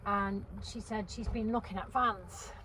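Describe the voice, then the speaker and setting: A woman in her fifties, from Wales, talks to camera from inside her parked van. She rambles and restarts, with plenty of ums, at a middle pitch. A strong wind is clearly heard gusting outside. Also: fairly even intonation, unhurried, a bit bright, low-quality recording. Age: 50s. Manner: chatty, meandering, many ums and restarts. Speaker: vlogger. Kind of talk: casual rambling chat to camera. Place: inside a parked van by a river on a windy day.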